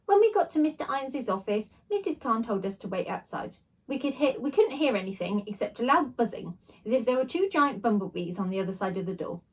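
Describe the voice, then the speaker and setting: Female storyteller for children's book: fairly high, lightly husky, expressive read-aloud delivery. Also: fairly quick, rough recording. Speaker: teacher reading a story aloud.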